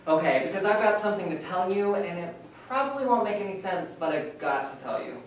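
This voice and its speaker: A woman's voice: mid-range voice, lively intonation, brisk, lightly acted. A woman playing a nervous grown son confessing to parents in a staged reading, recorded distantly in an echoey hall. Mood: hesitant, nervous. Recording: echoey hall, distant mic, faint noise.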